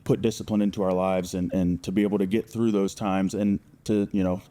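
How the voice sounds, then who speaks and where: A man with a lowish, very mellow voice hosts a podcast. He speaks casually, often halting and repeating words as he introduces and questions his guest. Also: flat delivery. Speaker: podcast host.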